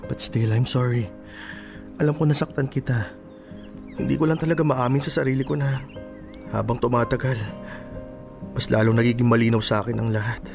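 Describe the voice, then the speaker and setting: An actor with a Filipino accent playing a remorseful man, halting and earnest, pleading for forgiveness in an emotional radio drama. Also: mid-range voice, fairly even intonation, creaky, close to an everyday voice. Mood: remorseful, hesitant. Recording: music under the dialogue. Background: music.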